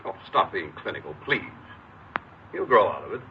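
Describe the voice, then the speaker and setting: A dull old radio recording with faint noise. a stern middle-aged father, low in pitch and widely varied in intonation, brusque, exasperated and quick to dismiss. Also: very animated. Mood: irritated, dismissive. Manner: brusque, impatient. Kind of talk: old radio crime drama acting.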